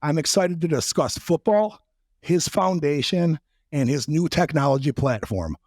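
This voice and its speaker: A man with a raspy voice after a trip, hosting a podcast and talking bluntly and casually, with some swearing and joking. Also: fairly high pitch, expressive, unhurried, a little mellow, no echo, clean studio sound. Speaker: podcast host. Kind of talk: casual interview and monologue intro.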